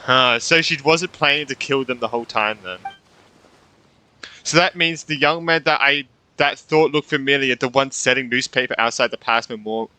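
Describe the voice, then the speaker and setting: A man's voice: mid-range voice, normal speed, sharp tone, clear, resonant tone. A man giving casual playthrough commentary as a gaming streamer, musing aloud informally in loose phrases on a close microphone.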